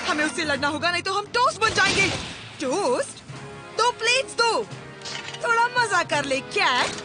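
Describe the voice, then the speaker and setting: Very high-pitched feisty cartoon boy. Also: expressive, normal speed. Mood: urgent. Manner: exclamatory, scrappy, sarcastic. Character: feisty, bossy young boy at war with his cat. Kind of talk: dubbed cartoon acting. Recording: loud music behind the voice.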